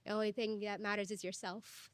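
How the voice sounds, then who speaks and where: A woman's voice: mid-range voice, level tone, brisk, clear, resonant tone, no room sound. A young woman from Egypt, a student panelist, speaking earnestly from personal experience in a panel discussion. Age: young adult.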